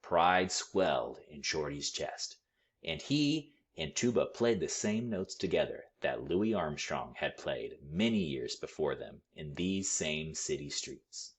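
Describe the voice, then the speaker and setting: A man reading a children's story aloud with expressive, deliberate, dramatic phrasing. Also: very deep voice. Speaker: storybook read-aloud reader.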